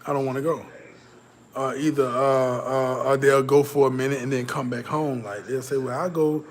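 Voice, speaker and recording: A man holding forth in a loose vlog monologue, speaking in a mid-pitched voice. He talks bluntly with cursing and lots of "you know," swinging from loud, pushed emphasis to low, muttered asides. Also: steady tone, moderate pace, slight background noise. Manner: blunt, profane, repetitive fillers. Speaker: vlogger. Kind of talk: casual commentary to camera.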